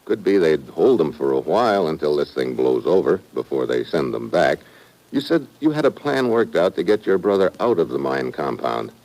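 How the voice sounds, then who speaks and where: An actor playing a tough, wisecracking American secret agent who narrates and acts in clipped slang in an old-time radio thriller. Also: male voice, rumbling low, big pitch swings, normal speed, a little mellow, heavily acted. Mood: shrewd. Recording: noisy old radio drama recording.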